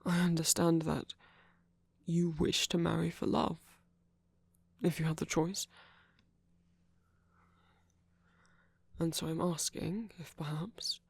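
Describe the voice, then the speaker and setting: A man playing a visiting king, an earnest suitor speaking in hushed, tender, formal phrases in a romantic ASMR roleplay. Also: on the high side, flat delivery, unhurried, gravelly, slightly rough, no room sound, exaggerated voice. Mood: tender, ardent. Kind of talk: romantic ASMR roleplay, soft dramatic acting. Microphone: close microphone.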